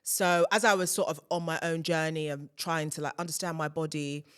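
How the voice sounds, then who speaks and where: A woman's voice: low-pitched, fairly even intonation, fairly quick, a bit bright, clear, resonant tone. A woman in her thirties, a broadcaster and podcast guest, telling her story in candid, chatty talk full of "like". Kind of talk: casual chat.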